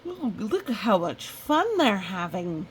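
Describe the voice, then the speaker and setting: A woman reading a picture book aloud in a chatty, expressive way, with casual asides. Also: mid-range voice, slow pace, a little hiss or hum. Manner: chatty, expressive storytelling with playful sound effects. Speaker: storybook reader.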